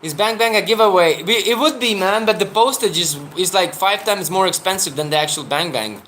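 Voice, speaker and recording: Man in his thirties, a travel vlogger chatting on a livestream: rambling, restarts a lot, slightly higher voice, faint hiss behind. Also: fairly quick, a bit bright. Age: 30s. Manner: rambling, with frequent restarts and fillers. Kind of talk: casual livestream chat.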